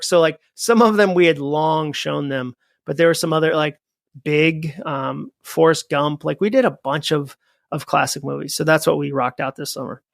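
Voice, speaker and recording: A man in his forties from New England talks in a loose, rambling way, full of "um" and "like" as he jumps from story to story. His voice sits a little high for a man and has a gravelly rasp. The studio sound is close and dry. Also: dry recording. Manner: rambling, animated storytelling with many fillers. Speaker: podcast guest. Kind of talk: casual conversation.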